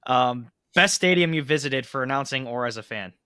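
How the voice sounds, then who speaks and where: A man's voice: mid-range voice, normal speed, sharp tone, clear, resonant tone, no echo. An American man hosting a casual interview, asking questions and responding with a fan's enthusiasm. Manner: enthusiastic, fan-like. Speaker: interviewer, host.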